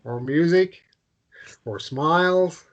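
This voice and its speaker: A man performs a warm-hearted man in a gentle romance drama. His voice sits high for a man, and he speaks with open, slightly halting sincerity. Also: dull audio. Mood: soft, sincere. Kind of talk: table read of a romance drama.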